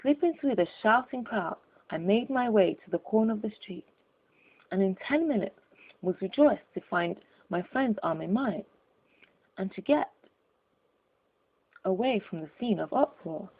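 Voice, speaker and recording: Casting: female audiobook narrator, medium pitch, husky tone, measured formal delivery of classic fiction, narrow telephone-like recording. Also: lively intonation. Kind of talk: scripted literary narration.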